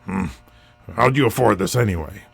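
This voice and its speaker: Gravelly, grumbling old wrestling veteran, acted by a man. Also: on the low side, moderate pace, soft background music, full character voice. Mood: cynical. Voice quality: gravelly, raspy. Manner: grumbling, curt, cynical. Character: miserable, stubborn old washed-up wrestling veteran. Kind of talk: audio drama dialogue.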